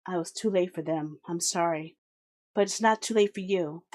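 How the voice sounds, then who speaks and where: A woman voicing a composed noblewoman who speaks softly and soothingly. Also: fairly low pitch, moderate pace, slight background noise, natural voice. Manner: soft, smooth, soothing. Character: composed noblewoman, calm and commanding.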